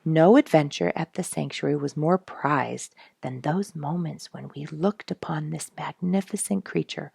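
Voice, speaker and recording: A woman reading a nature storybook aloud in an expressive, measured, dramatic storytelling manner. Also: low voice, creaky, husky, no room sound, clean studio sound. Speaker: audiobook reader and show host.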